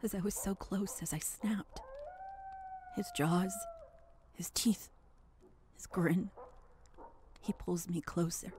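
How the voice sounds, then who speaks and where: A woman narrating a first-person horror story dramatically, in halting, intense fragments, with a gravelly, creaky voice. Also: fairly low pitch, lively intonation, a little mellow, slight background noise.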